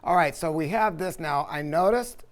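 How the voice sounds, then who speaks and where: A man's voice: a little high, normal speed. An American man in his sixties hosting a product discussion, speaking in an emphatic, opinionated and enthusiastic way. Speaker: host, audio dealer. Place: indoor audio showroom.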